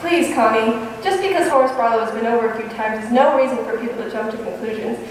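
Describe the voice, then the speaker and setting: Coy, smitten landlady acted by a woman for vintage radio sitcom, rapid protesting delivery, distant in a reverberant hall. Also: a little high, close to an everyday voice. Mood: indignant, coy. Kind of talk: 1950s radio comedy acting. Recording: echoey hall, distant mic, faint noise.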